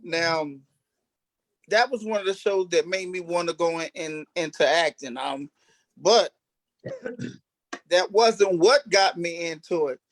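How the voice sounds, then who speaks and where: A man's voice: high-pitched, slow pace, pauses often, dry recording. A man who acts on stage, casually telling stories about himself as an interview guest. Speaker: stage actor being interviewed. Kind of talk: casual storytelling.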